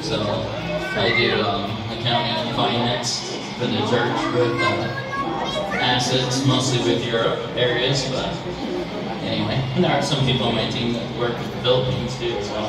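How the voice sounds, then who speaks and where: A man's voice: mid-range voice, steady tone, moderate pace, over loud music. Easygoing man, echoey and distant. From Utah, United States. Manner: easygoing, chuckling, rambling. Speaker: guest introducing himself. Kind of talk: casual speech to a gathering. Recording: echoey hall from far off with crowd.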